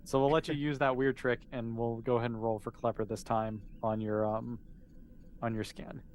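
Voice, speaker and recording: A man's voice: mid-range voice, little pitch movement, moderate pace, a little mellow, a little hiss or hum. A man casually narrating a tabletop game as game master, explaining in an easygoing, conversational way.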